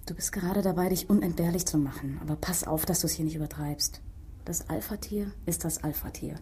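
A woman acting a cool, cautioning figure in a radio drama, measured and insinuating. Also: fairly low pitch, background noise, close to an everyday voice. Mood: conspiratorial.

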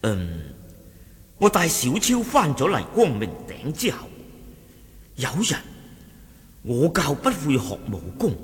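An actor with a Cantonese accent playing a suspicious martial-arts sect lieutenant who reports deferentially to his chief in a radio drama, with emphatic exclamations. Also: medium pitch. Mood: recounting. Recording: long reverberant hall echo.

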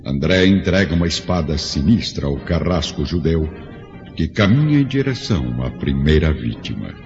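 An actor serving as the grave, dramatic narrator of a scripted radio drama. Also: male voice, rumbling low, very animated, moderate pace, full character voice. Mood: grave, suspenseful. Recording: dull radio-drama recording, music behind.

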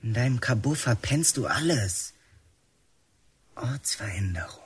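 An actor playing a tough, scornful young male inmate in a radio drama, gruff and slangy. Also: mid-range voice, animated, a little slow. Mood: conspiratorial. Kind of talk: German radio drama acting.

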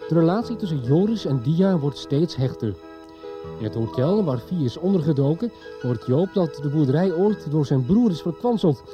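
A man's voice: on the high side, natural voice. A man narrating the recap of a radio soap in a scripted storytelling style, muffled, with music behind him. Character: narrator recapping a radio soap's previous episode. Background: prominent music.

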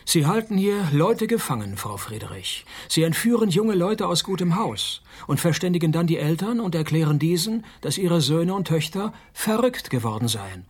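A hard-boiled male detective in a radio drama. His husky, rough-edged voice sits a little high for a man, and he delivers narration and dialogue in a laconic, sardonic deadpan. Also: moderate pace, few pauses, heavily acted. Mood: sardonic, confident.

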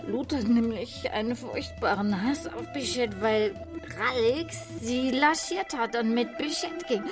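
A woman's voice: a little high, measured pace, lightly acted. An actress playing an agitated young woman pleading her innocence in a radio play. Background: music.

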